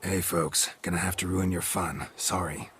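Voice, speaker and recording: An actor playing a gruff, laconic middle-aged male monster hunter with a terse, deadpan delivery. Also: sits low, moderate pace, slight background noise. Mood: blunt.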